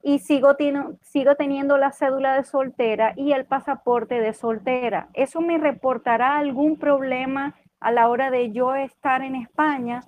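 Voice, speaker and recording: A Venezuelan woman with a high-pitched voice. She speaks politely and without a script as a caller, explaining her circumstances and asking for guidance. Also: fairly even intonation, moderate pace, hardly any pauses, dull audio. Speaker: caller asking a legal question.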